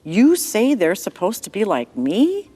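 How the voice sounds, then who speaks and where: A woman voicing an eager, earnest young red-haired boy in a softly raised child voice over faint music. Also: medium pitch, huge pitch swings, a little slow, pitched above the performer's own voice.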